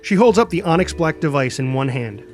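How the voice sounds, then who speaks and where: A man's voice: fairly high pitch, big pitch swings, a little fast, soft background music. A man reading scripted action narration as the narrator.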